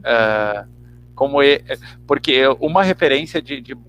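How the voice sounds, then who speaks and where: A man's voice: medium pitch, expressive, a little slow, a bit bright, dull audio. A man with a Brazilian accent, giving reflective answers as a guest actor in an interview. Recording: clear background hum and noise.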